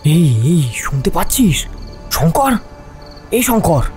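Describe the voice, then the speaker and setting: Casting: male narrator as bold young man on night watch, horror audio drama; urgent muttering, slightly high and lively, music behind. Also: slow pace. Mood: urgent whispering. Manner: whispery urgent muttering, exasperated with friends.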